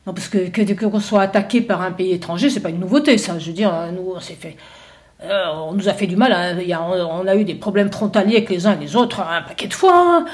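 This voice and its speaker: A woman's voice: medium pitch, animated, quick pace, natural voice. A woman voicing a stubborn shepherd who defends his homeland, indignant and incredulous, with lots of hesitations. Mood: skeptical.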